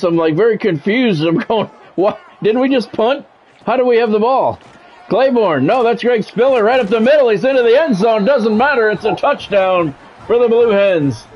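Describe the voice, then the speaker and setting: A man's voice: sits high, lively intonation, moderate pace, a little hiss or hum. An American man hosting a game livestream, chatting casually and bluntly between bits of play-by-play commentary. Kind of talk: casual live-stream chat with play-by-play commentary. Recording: dull lo-fi sound, music behind. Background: music.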